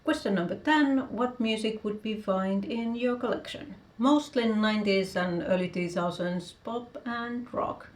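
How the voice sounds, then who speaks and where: A Finnish-accented woman, a native Finnish speaker, works through a list of vlog questions. Her pitch sits in a middle range for a woman, and she keeps her answers short and matter-of-fact. The room around her has a noticeable echo. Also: unhurried, few pauses. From Finland. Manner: reads each question, then answers in short plain sentences. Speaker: author vlogger. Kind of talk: casual answers to a video tag. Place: home room with bookshelves.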